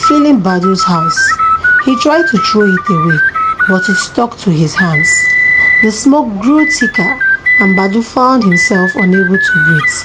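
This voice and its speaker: A woman's voice: mid-range voice, expressive, unhurried, loud music behind the voice, poor audio. A woman reading a scripted folktale for children as a storyteller.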